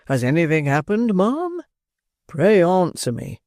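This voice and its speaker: A man voicing a pompous, self-important middle-aged beadle who speaks slowly and gravely. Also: high-pitched, huge pitch swings, a little mellow, dry recording, studio quality, voice pushed up, heavily acted. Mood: pompous solicitous.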